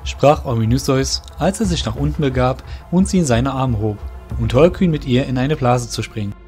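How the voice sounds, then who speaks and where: A man's voice: medium pitch, normal speed, a little mellow. A man narrating a scripted fantasy audio drama as storyteller. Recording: music under the voice.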